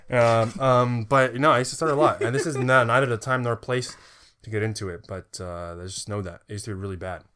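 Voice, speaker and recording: A man's voice: mid-range voice, a little fast, fairly bright tone. A young man co-hosting a casual podcast, rambling with lots of fillers and repeated words. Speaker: podcast co-host. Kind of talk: casual chat.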